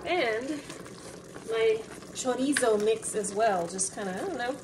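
Young female home cook, relaxed and chatty, slightly high voice, recorded from a distance with audible noise. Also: measured pace. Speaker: home cooking host. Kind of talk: casual cooking narration. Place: home kitchen. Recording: distant mic, noticeable room noise.

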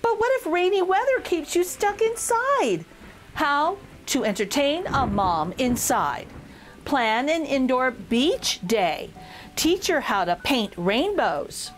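A woman reads a picture book aloud for children in an expressive, playful way, addressing the young listeners directly. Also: high voice, slow pace, soft background music.

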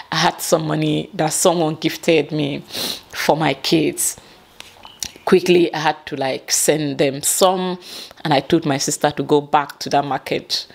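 A Nigerian woman in her thirties from the Niger Delta, talking to camera in an impassioned, pleading vlog, sometimes slipping into Pidgin phrases. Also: sits low. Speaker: vlogger. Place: small indoor room. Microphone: clip-on lapel mic.

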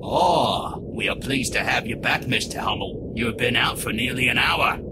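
A man's voice: mid-range voice, expressive, moderate pace, lightly acted. Man as a clinical alien scientist, heavy noise. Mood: polite, clinical. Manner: formal, clinical, stilted. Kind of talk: comic-book dub.

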